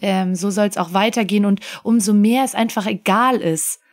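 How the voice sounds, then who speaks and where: A woman's voice: medium pitch, moderate pace. A woman co-hosting a podcast in a casual, conversational style. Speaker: podcast co-host.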